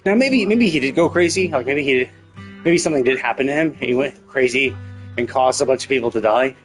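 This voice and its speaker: A man's voice: mid-range voice, fairly quick. A man streaming a game, giving casual live commentary in a rambling, repetitive way as he thinks aloud. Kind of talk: casual live commentary, speculating on a game's story. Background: music with plucked guitar.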